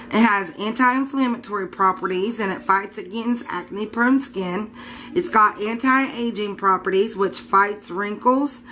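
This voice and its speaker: A woman speaks at a middle pitch, her voice scratchy and congested. She chats casually and informally in a home kitchen, a little unsure and often correcting herself. A faint hum of room noise sits under her voice. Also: a bit bright. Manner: chatty, informal, a bit unsure, self-correcting. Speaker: home soap maker. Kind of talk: casual show-and-tell vlog.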